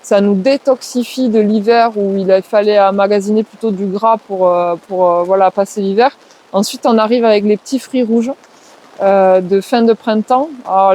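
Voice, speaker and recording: A woman's voice: medium pitch, dark tone. A woman with a southwest French accent answering interview questions, explaining things at length with many hesitations and filler words. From southwest France. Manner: hesitant, lots of "euh" and "ben", lists things off. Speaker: guest, permaculture trainer.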